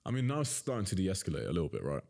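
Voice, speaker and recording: A man's voice: sits low, huge pitch swings, racing, creaky, a little mellow. A young man in his twenties co-hosting a casual podcast, rambling and packing his speech with "like" and "you know". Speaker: co-host and interviewer.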